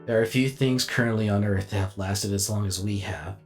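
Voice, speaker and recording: A man playing a weary, reflective alien robot warrior in a dramatic inner monologue, speaking deliberately and formally. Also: on the low side, fairly even intonation, soft background music, close to an everyday voice. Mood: awed, reflective.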